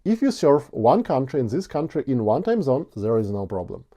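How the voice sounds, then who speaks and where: A man's voice: medium pitch, sing-song, a little fast, dark tone. A man with a Ukrainian accent, a native Ukrainian speaker, giving an earnest step-by-step tutorial on ad settings. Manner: earnest, plain step-by-step explaining. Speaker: online ad instructor. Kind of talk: screen-share tutorial.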